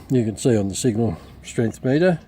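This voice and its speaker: A middle-aged man with an Australian accent gives an unscripted product demonstration as a reviewer, rambling hesitantly with frequent pauses. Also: mid-range voice, animated, mellow. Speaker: radio reviewer. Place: outdoors in a backyard. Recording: clearly noisy outdoor camera recording.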